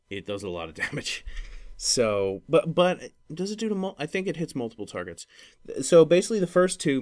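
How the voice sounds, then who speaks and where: A man hosting a casual gaming podcast, explaining things in a rambling, hesitant way and often correcting himself. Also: lowish voice. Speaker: podcast host.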